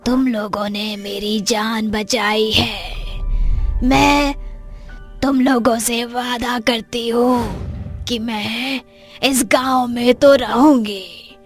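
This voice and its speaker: A woman's voice: a little high, steady tone, very slow, faint music behind. A woman playing a sorrowful, vengeful witch ghost, a wronged mother, in a dramatised horror story. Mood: pleading, tearful.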